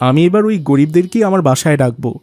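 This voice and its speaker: Man playing a pompous high-voiced rich businessman. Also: animated, quick pace, a little mellow, dry recording, natural voice. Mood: fretful, coaxing. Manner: bossy, condescending. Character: pompous, show-off rich businessman and indulgent father. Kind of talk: cartoon dialogue acting.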